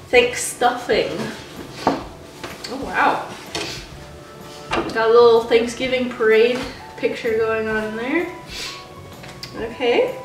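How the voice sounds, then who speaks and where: A young woman with a stuffy, congested voice chats unhurriedly from a couch. She sounds a little higher than most women and is some way from the camera mic. The room is echoey, and music plays underneath. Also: very slow, pauses often, recorded from a distance. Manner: chatty, talks to her dogs in a higher sing-song voice. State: congested from a cold. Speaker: vlogger unboxing dog gifts. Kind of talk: casual chat. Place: small bedroom, seated on a couch. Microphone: camera mic at a distance. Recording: roomy, off-mic, with background music.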